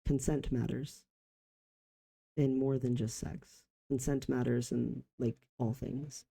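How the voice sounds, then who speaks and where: A man of about forty talking reflectively in a personal vlog, halting in short phrases with pauses. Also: medium pitch, fairly even intonation, gravelly, dark tone, husky. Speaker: vlogger.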